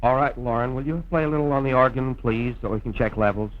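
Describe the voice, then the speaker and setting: A man's voice: mid-range voice, steady tone, fast talker, slight background noise. A man speaking as narrator and announcer in the formal, scripted manner of mid-century radio. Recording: dull, lo-fi old film soundtrack.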